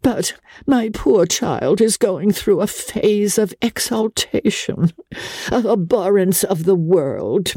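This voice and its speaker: A woman's voice: medium pitch, expressive, slow, warm tone, breathy edge, dry recording, studio quality, voice pushed up, exaggerated voice. Lady doing a gushy theatrical society aunt for an audiobook, sighing and grand, really swoopy, takes her time. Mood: lamenting. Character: affected, theatrical society aunt, dramatic and gushing. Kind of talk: dramatic audiobook character acting.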